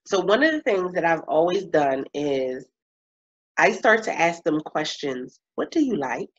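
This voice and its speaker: A woman in her thirties, a coach and speaker, chatting in an interview over a video call. She talks in an animated way, laughs often and stretches words for emphasis. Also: deep voice, unhurried, husky. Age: 30s. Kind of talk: casual interview chat. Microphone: webcam on video call. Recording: slightly muffled video-call audio.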